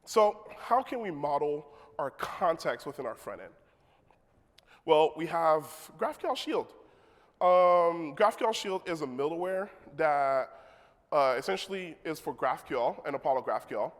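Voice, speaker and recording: A man's voice: a little high, lively intonation, slow. A man giving a technical conference talk in a casual manner, with joking asides to the audience. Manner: leads the crowd in a call-and-response. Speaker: conference speaker. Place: large conference hall. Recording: long hall reverb.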